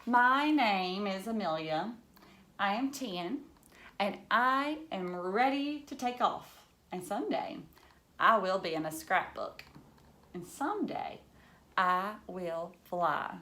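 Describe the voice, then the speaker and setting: A woman reading a storybook as an eager ten-year-old girl who dreams of flying, with drawn-out, wonderstruck phrasing. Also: medium pitch, expressive, pushed and bright. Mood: determined.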